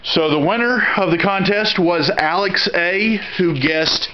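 A man's voice: sits high, measured pace. A man in his thirties with a Texas accent, casually walking through his auction haul in a plainspoken, rambling way, filmed on a handheld camera indoors. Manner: rambling, plainspoken, frequent restarts. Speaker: auction reseller vlogger. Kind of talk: casual haul video walkthrough. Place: indoor storage room. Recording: dull lo-fi camera audio with clear noise.

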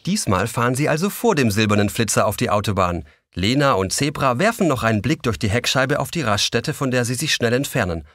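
A man's voice: mid-range voice, animated, a little fast, hardly any pauses, a bit bright, no echo, clean studio sound. A man narrating a scripted children's story as the narrator of an audio drama.

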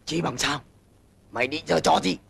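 An actor playing a blustering, gullible old bully of a wolf in a cartoon, boastful and theatrical. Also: male voice, on the high side, fairly even intonation, normal speed, creaky, a bit bright, husky, exaggerated voice. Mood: threatening, suspicious. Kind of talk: cartoon voice acting. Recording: music behind the voice.

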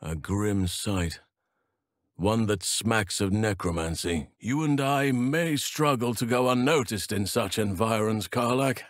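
A male actor voices a wise druid from a fantasy video game, gentle yet solemn, with a wild side. His voice is fairly low and recorded close and dry. He phrases things formally and earnestly, in measured sentences. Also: no echo, close to an everyday voice. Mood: solemn, thoughtful. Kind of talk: video game character acting.

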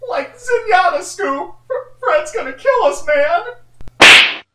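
A lanky cartoon slacker, forever frightened. The voice is extremely high and whiny, cracking as it stammers in a slow drawl. It sits in a roomy echo with faint music behind. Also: male voice, animated, recorded from a distance, noisy background, exaggerated voice. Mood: nervous. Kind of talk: cartoon comic dub.

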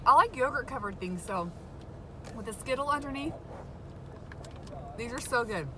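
A woman's voice: a little high, animated, normal speed, noisy background. A woman in her thirties chatting casually to a handheld phone camera in her car, in a shop and at home, rambling and upbeat. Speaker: family vlogger. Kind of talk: casual vlog chat.

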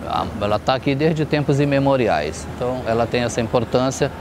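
A man's voice: medium pitch, animated. Middle-aged man explaining outdoors, noisy street. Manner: informative, measured explanation. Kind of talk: on-camera tour explanation. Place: outdoor riverside fish market at dawn. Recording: outdoor street noise behind.

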